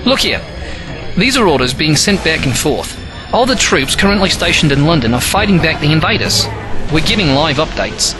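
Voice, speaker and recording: A man's voice: a little high, big pitch swings, moderate pace, rough recording. A man acting an eager, alien-obsessed computer hacker in an audio drama, explaining keenly. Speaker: actor. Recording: loud music bed behind the voice.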